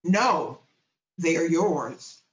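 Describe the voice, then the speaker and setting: A man's voice: on the high side, animated, very slow, background noise. A man playing a grave, solemn Christmas ghost in an amateur radio play over a video call. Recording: roomy, dull video call audio.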